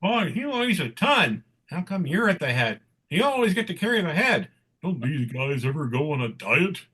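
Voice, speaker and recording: A man's voice: on the high side, sing-song, moderate pace, pushed and bright, breathy edge, heavily acted. A man voicing a cheeky, grumbling little elf in a comic book reading. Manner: cheeky, complaining.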